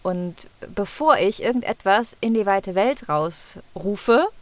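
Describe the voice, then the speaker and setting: A woman from the Rhineland, a pronunciation content creator, telling stories in a lively, expressive way as a guest in a casual interview. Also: mid-range voice, slow, no room sound. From Rhineland, Germany.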